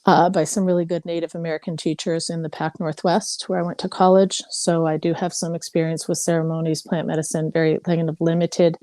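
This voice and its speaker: A woman's voice: low voice, flat delivery, a little fast, hardly any pauses, dark tone, dry recording. A woman in her fifties from Hawaii, candidly sharing her story in a casual group call, with many fillers. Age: 50s. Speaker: participant.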